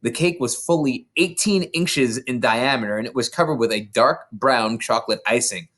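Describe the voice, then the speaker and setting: A storybook reader narrating a children's book in an expressive, dramatic, theatrical way. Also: mid-range voice, moderate pace.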